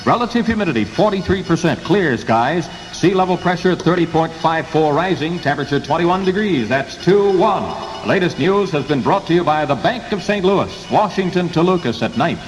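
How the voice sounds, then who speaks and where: American man, 1960s radio newsreader, clipped delivery, slightly high pitch, reverberant, hissy recording. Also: animated, normal speed. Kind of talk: scripted 1960s radio news bulletin.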